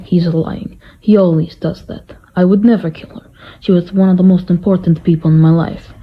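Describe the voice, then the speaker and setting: Russian butler for a radio drama, played by a woman: low, husky, aggrieved and emphatic, on a muffled recording. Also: steady tone, normal speed. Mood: defensive, grieving. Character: hot-tempered, grieving Russian male butler. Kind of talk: amateur radio play acting.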